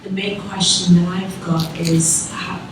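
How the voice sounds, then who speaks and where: A woman's voice: fairly low pitch, flat delivery, measured pace, a little hiss or hum. A woman, a parent, asking a hesitant question from the audience at a conference, searching for her words. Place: conference hall. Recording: echoey hall, distant mic.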